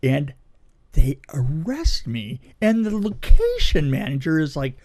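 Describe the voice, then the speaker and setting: Middle-aged man, high voice, swooping intonation, dramatic anecdote telling, emphatic repeats, conversational. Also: wildly swooping pitch, unhurried, darkish tone. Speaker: podcast co-host telling anecdotes. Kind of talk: animated casual storytelling.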